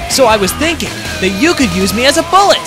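A woman voicing a cocky young male hedgehog hero, rattled but defiant, with exasperated, expressive delivery. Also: high-pitched, quick pace. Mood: urgent, bossy. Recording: loud music behind.

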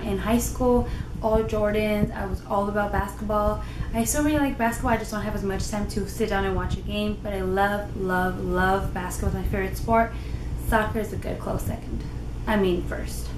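A young woman with a naturally loud voice, chatting casually to the camera in her living room with lively gestures. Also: mid-range voice. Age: young adult. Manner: animated, gestures a lot with her hands. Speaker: lifestyle vlogger. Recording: roomy with noticeable background noise.

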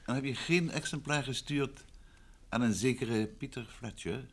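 A man's voice: mid-range voice, moderate pace, lightly acted. An actor playing a courteous, inquisitive male detective in an old Dutch radio drama, asking probing questions in a conversational way.

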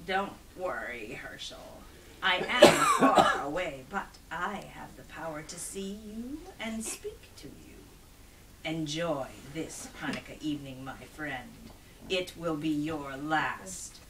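A woman voicing a grand, menacing goblin king for a storybook, slow, pompous and taunting, sinking to a hush and swelling up. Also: huge pitch swings, distant mic, background noise, full character voice. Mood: gloating threat. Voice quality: cracking, bone-like, hushed then booming.

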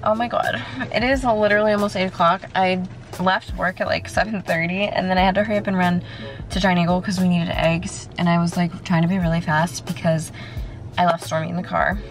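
A woman's voice: fairly low pitch, steady tone, fairly quick, soft background music. A woman in her twenties chatting casually into a handheld camera as she goes about her day, rambling and saying "like" a lot. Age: 20s. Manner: chatty, rambling, says "like" often. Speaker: vlogger. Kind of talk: casual vlog chat. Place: car, kitchen and apartment. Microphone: handheld phone camera at arm's length.

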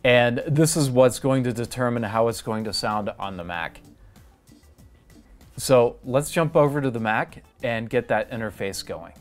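A man's voice: medium pitch, a little slow, faint music behind. A middle-aged man hosting a how-to demonstration, explaining each step in a plain, methodical way. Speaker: tutorial host.

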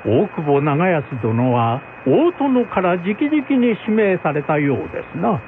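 Man playing a deferential samurai retainer, very animated. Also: on the high side, measured pace. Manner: respectful, explanatory, formal old-fashioned speech. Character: deferential, well-informed male samurai retainer. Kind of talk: radio drama folk tale. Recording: phone-like band with faint noise.

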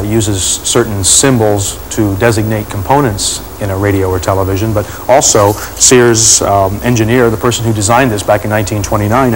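A man's voice: lowish voice, a little hiss or hum, rough recording. A man explaining as he works, hesitant and thinking aloud, on 1980s TV location sound. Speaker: radio restoration hobbyist. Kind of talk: unscripted explanation while working.

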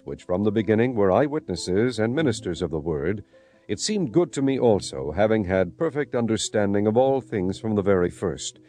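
A man narrates scripture as solemn dramatic storytelling. His voice is slightly low and dark, with lively movement in pitch. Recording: music behind the voice.

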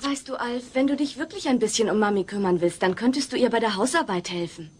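A woman playing a sensible, unimpressed teenage daughter with a husky voice in a comedy audio drama. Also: fairly high pitch, quick pace, slight background noise, lightly acted. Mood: patient.

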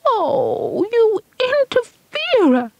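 A woman voicing an upset little boy near tears, squeaky and wailing. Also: wildly swooping pitch, extremely slow, dry recording, highs cut off, exaggerated voice.

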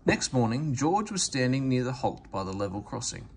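Male storybook narrator, animated intonation, middle-pitched, home recording with faint noise. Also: moderate pace. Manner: expressive. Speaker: storybook reader. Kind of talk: read-aloud children's story narration. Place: indoors at home, book on camera.

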